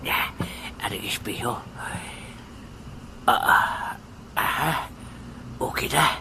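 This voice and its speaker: A man playing a jovial, slow old grandfather. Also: medium pitch, expressive, gravelly, bright, forceful tone, whispered, full character voice. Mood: muttering. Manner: laughing, good-humoured, slow, muttering asides. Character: good-natured old grandfather, joking and easygoing. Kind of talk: amateur audio drama. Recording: noisy amateur recording.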